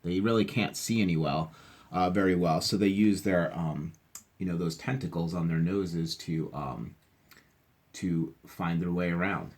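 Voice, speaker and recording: A man hosting a read-aloud for children, speaking in chatty, expressive asides straight to his young listeners. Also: deep voice, measured pace.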